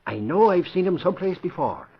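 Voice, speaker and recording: An actor playing a blustery, excitable middle-aged male reporter in a vintage radio drama. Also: high-pitched, fairly quick, a crowd in the background. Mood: conspiratorial. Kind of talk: 1940s radio acting. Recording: muffled vintage radio recording with faint hiss.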